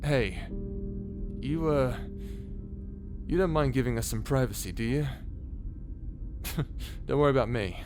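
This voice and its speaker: A man's voice: medium pitch, a little slow, long pauses, lightly acted. Man acting a hesitant, insecure pirate captain over music. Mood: awkward, gentle. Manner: hesitant, stammering, self-doubting. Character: insecure, guilt-ridden male pirate captain, earnest and lonely. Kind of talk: scripted ASMR audio roleplay. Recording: music laid under the voice.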